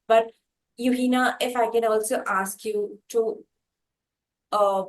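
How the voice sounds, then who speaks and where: A woman with an Indian accent hosting an interview, speaking haltingly in fairly formal phrases. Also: fairly high pitch, steady tone. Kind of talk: interview questions and chat.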